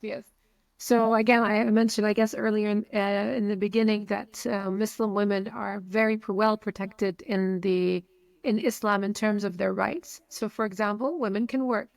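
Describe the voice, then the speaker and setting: A woman's voice: medium pitch, steady tone, normal speed, gravelly, no echo. Frank Palestinian-accented woman in her forties. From Saudi Arabia. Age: 40s. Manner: frank, repeats words when starting a thought. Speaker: guest. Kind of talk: casual interview talk.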